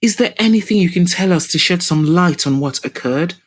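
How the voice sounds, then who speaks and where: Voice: medium pitch, lively intonation, a little fast, pitched above the performer's own voice, natural voice. Audio drama lead: determined young woman, voiced by a female narrator, formal, pointed, insistent. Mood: searching. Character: determined, probing young woman confronting a suspect.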